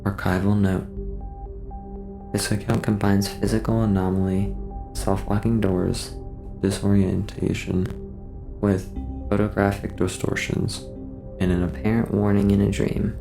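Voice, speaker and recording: A man reading scripted horror case files as a narrator, in a measured, formal way. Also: lowish voice, flat delivery, gravelly. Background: music.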